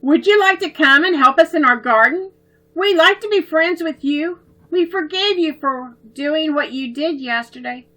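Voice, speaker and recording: Storybook little girl, high, pleading, near tears, read by a woman, bright tone. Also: normal speed, faint music behind, rough recording. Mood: friendly.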